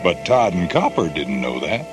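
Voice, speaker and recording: A man's voice: deep voice, huge pitch swings, normal speed. A man narrating a scripted children's storybook as a read-along. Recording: loud music behind the voice.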